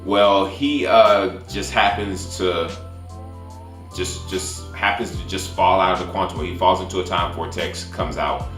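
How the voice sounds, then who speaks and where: A man giving a casual spoiler-filled movie review as a YouTuber, haltingly, often repeating and restarting his phrases. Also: deep voice, steady tone, fairly bright tone, echoey room, faint music behind.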